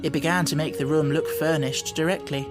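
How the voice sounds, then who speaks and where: A woman's voice: sits low, steady tone, brisk, over loud music. A woman reading a children's novel as scripted audiobook narration.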